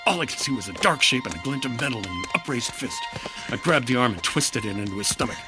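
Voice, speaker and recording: An actor playing a dry, unflappable hard-boiled insurance investigator in clipped, deadpan 1950s radio detective style. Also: male voice, medium pitch, quick pace, over music, lightly acted. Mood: tense, narrating. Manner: terse, deadpan.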